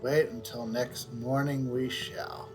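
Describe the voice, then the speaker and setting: Man, casual gameplay talk, mid pitch, thinking aloud, music clearly in background. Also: level tone, takes it slowly. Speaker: streamer. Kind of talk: gameplay commentary. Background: game music.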